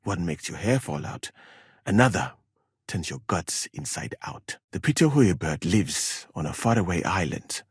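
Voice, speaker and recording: An actor playing a learned, well-travelled West African physician in a video game, speaking formally and precisely with dry wit and a husky voice. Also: male voice, low-pitched, big pitch swings, dry recording, full character voice. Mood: calm, explanatory. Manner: formal, measured, precise diction with dry irony. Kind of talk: video game character dialogue.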